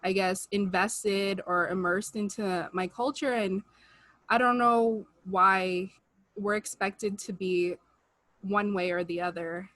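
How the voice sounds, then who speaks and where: A woman's voice: mid-range voice, fairly even intonation, slow, fairly bright tone, no room sound. A young woman co-hosting a podcast, talking earnestly in casual chat on a video call from home. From United States. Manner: earnest, talks with rising feeling, many "like" and "you know". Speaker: podcast co-host. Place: room at home, indoors. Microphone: video call webcam mic.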